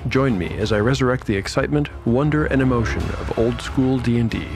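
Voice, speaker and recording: A man's voice: mid-range voice, fairly quick. A man narrating a scripted podcast promo as its host, in a dramatic manner. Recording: music bed behind the voice.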